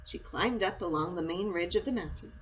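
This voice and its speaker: A woman reading a children's story aloud in an expressive, storytelling style. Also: lowish voice, a little fast. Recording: muffled audio with clear background noise.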